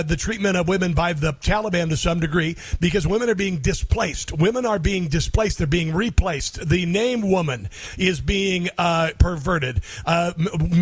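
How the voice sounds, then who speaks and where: A male radio host questions his guest in a halting way, stumbling through fillers and restarts. His voice sits a little high. The sound is dry and close, with no room echo. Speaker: radio talk show host. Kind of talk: radio call-in interview.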